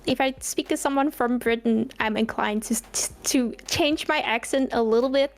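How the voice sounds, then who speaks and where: Young woman, light dutch accent, higher voice, chatty and giggly. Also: moderate pace, a little hiss or hum. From Netherlands. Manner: chatty, laughs often, restarts sentences. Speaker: guest streamer. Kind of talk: casual chat.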